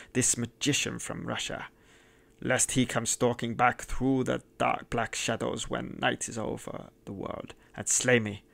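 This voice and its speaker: A man's voice: mid-range voice, gravelly, a bit bright. A man voicing a mad, vengeful male innkeeper who roars and laughs wildly. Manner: roaring, laughing wildly, mumbling.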